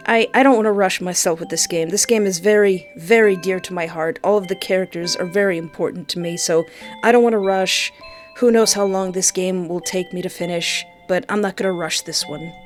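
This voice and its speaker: A woman giving excitable, casual commentary as she plays a visual novel, stammering when excited, with game music behind her. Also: mid-range voice.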